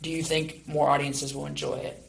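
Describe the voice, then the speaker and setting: A young man in his late teens hosts a casual interview show. His voice has noticeable fry, and he rambles along in an encouraging tone. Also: fairly high pitch, level tone, moderate pace. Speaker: podcast host.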